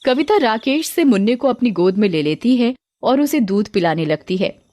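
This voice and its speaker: A woman's voice: a little high, lively intonation, normal speed, warm tone, a little hiss or hum. A woman reading a scripted story narration for children.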